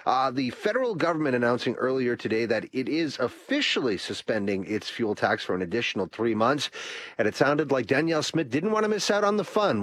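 Lively, husky-voiced male radio host. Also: mid-range voice, no room sound. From Canada. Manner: chatty, many uh fillers, lively delivery. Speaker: radio talk show host. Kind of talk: call-in radio talk.

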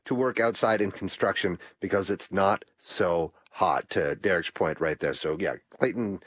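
A man's voice: low-pitched, moderate pace, husky, dry recording, studio quality. A man hosting a call-in radio talk show, chatty and full of "uh" fillers. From Canada. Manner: chatty, many uh fillers, lively delivery.

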